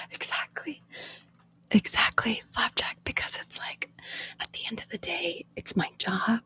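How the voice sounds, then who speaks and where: A young woman from Oklahoma, an ASMR host, chatting in a soft whisper with viewers on a live stream, answering their questions and laughing often. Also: on the high side, animated, slow, pauses often, breathy edge. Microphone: phone.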